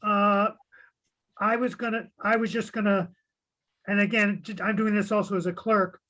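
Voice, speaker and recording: A man's voice: high voice, level tone, normal speed, pushed and bright. A man serving as commission clerk in a town meeting, talking hesitantly with many restarts. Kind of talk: meeting discussion.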